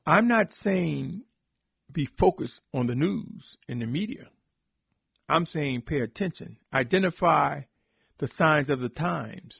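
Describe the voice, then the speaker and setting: A man giving a solemn, sermon-like podcast reflection, exhorting deliberately with emphatic repetition in a husky, gravelly voice. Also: a little high, lively intonation, no echo, dull audio. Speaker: religious podcast host and preacher.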